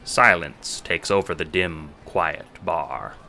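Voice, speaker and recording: A man narrating a second-person audio drama in a dramatic, deliberate way. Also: low-pitched, creaky, sharp tone. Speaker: narrator and producer.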